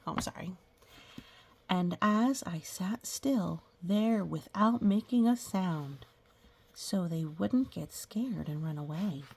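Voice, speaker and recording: Husky, slow-paced woman reading to children. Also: mid-range voice. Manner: gentle, instructive, pausing to explain and ask questions. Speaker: children's story reader. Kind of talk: read-aloud picture book with casual asides to young children.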